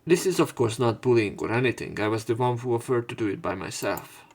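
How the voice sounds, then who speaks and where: A man's voice: mid-range voice, expressive, fairly quick, a little mellow. A man reading visual novel narration aloud as a let's player, with casual commentary. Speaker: let's play streamer.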